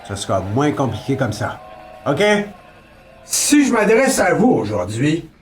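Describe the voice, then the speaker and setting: A Québécois man delivers a tongue-in-cheek campaign address in a fairly high voice that swoops up and down a lot. He sounds folksy and mock-solemn, and he keeps tossing in a rising "ok, là?" while speaking from some distance off the mic. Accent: Québécois French. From Quebec. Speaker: satirical mock candidate. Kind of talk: comic political address.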